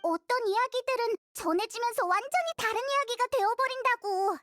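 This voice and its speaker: A woman's voice: very high-pitched, brisk, a bit bright, soft background music. A woman voicing a tiny, childlike, curious fairy companion in an anime game, chirpy and exclaiming. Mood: chatty, musing.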